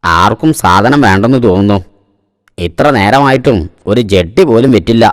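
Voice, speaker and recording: A man's voice: lowish voice, moderate pace, natural voice. A man voicing a sly, grumbling shop owner in a cartoon, with long echo on the recording. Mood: grumbling, impatient. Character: grumbling, sly adult male shop owner, evasive boss. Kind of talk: cartoon voice acting.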